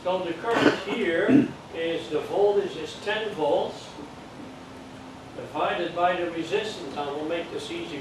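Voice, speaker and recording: Older Dutch-accented man lecturing in an echoey room. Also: a little high. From Netherlands. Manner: plain, patient explaining with jokes and check-ins. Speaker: amateur radio course instructor. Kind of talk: classroom lecture. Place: classroom. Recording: echoey room from far off, noisy.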